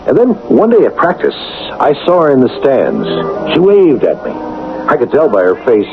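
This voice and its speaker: An actor playing a folksy, reflective football coach who narrates a radio drama in a conversational, musing way. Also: male voice, mid-range voice, wildly swooping pitch, normal speed. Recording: tinny vintage radio broadcast with music.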